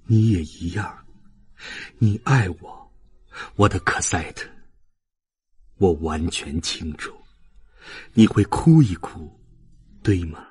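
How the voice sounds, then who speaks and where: A man performing an audiobook as a frail, dying old father, tender and humble, with halting, sentimental delivery and a husky, breathy voice. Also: sits low, darkish tone, full character voice. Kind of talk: dramatic audiobook reading of a classic novel.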